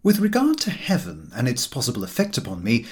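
A man reading a comic literary story as an audiobook, in an expressive, scripted narration. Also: mid-range voice, a little fast, breathy edge. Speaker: audiobook reader.

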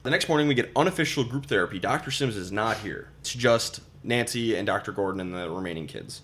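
A man in his twenties recapping a horror film in casual chat, acting out lines as he goes. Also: fairly low pitch. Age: 20s. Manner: animated, fast recapping with acted-out quotes. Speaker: podcast co-host.

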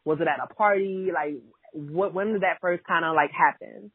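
A woman's voice: lowish voice, moderate pace, husky, no echo. Chatty woman podcast host over a thin line. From Ghana (family), raised in America. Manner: chatty, filler-heavy, laughs easily. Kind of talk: casual chat. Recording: dry thin phone-like sound.

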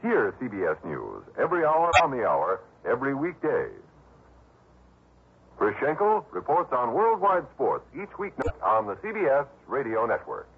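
Animated husky male vintage radio announcer. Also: mid-range voice, moderate pace. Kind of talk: 1960s scripted radio announcing and ad reading. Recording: muffled old radio broadcast.

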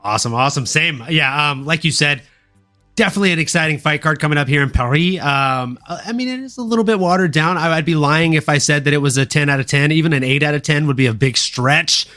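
Bright, punchy man hosting a podcast. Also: fairly high pitch, fast, soft background music. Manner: informal, slangy, showman-like intros. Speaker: podcast host. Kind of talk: upbeat sports podcast talk and fight recap.

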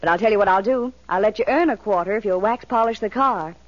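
Actress as brisk mother in vintage radio advert. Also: medium pitch, close to an everyday voice. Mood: brisk, encouraging. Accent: American. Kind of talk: 1940s radio commercial acting. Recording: muffled old radio recording.

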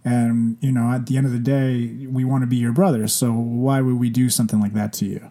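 A young man from Venezuela talks in a mellow, warm voice. He co-hosts a casual podcast chat, telling loose stories and laughing easily. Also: mid-range voice, level tone, a little fast. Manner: joking, laughs often, loose storytelling. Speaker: podcast co-host.

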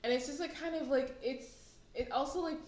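A woman's voice: high-pitched, fairly quick, a crowd in the background. Rambling woman in her late twenties. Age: late 20s. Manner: rambling, lots of fillers. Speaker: podcast co-host. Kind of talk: casual chat. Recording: echoey room, faint ambience.

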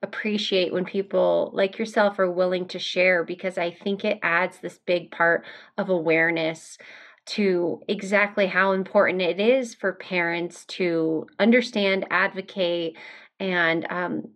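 A woman's voice: fairly low pitch, fairly even intonation, unhurried, fairly bright tone. A woman with an American accent, hosting a conversational podcast interview. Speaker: podcast host.

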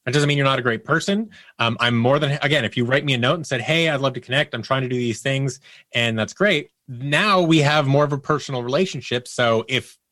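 A man's voice: mid-range voice, a little fast, sharp tone, no room sound, clean studio sound. A man speaking as a guest in a casual interview, candid and emphatic, often acting out little exchanges as quotes. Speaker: interview guest, audio producer.

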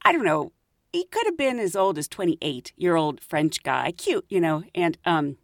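Lively chatty woman podcast host. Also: moderate pace, no room sound, clean studio sound. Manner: chatty, laughs often, lively rises in pitch. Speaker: podcast host, flight attendant. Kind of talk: casual storytelling.

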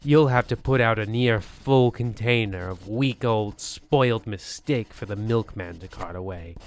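A man playing a pompous, disdainful veteran host of a radio horror show, speaking in a theatrical monologue. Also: on the low side.

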